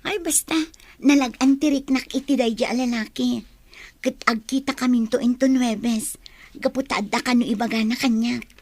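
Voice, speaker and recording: A woman plays a trusting, smitten student girl. She has a high voice and a coy manner, acted for radio drama in a very dry studio sound. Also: steady tone, moderate pace, darkish tone, dry recording. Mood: smitten, excited. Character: smitten, trusting young female student Shalani.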